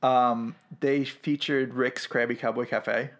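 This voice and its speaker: A young man co-hosting a podcast in casual chat, eager and quick to jump in. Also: medium pitch, fairly even intonation. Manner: eager, jumps in and talks over. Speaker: podcast co-host. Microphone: podcast microphone.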